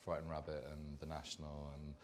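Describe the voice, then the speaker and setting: A man's voice: extremely low pitch, monotone, fairly quick, slight background noise. A man raised in London, a band's singer, answering fan questions in rambling, self-correcting talk on a concert stage. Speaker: band singer. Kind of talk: live Q&A chat.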